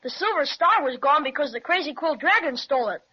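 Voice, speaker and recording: Excitable young boy, very high bright voice, 1930s radio serial, muffled. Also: fairly quick. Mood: earnest. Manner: eager, excited. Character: eager young boy adventurer. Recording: muffled old radio recording.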